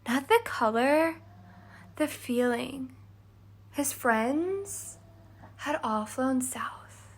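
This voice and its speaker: Lady doing a chatty dreamy girl in a kids fairy tale, high airy singsong, really drawn out. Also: expressive, drawn-out words, pauses often, exaggerated voice. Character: dreamy, chatty young Cinderella spinning animal tales. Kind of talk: children's storybook character voice.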